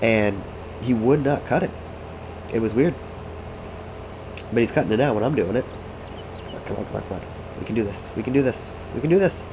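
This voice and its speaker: A man's voice: medium pitch, a little fast, pauses often, background noise. A congested, sniffly man giving rambling, casual commentary while playing a farming game, thinking aloud to himself and his chat. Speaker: gaming streamer.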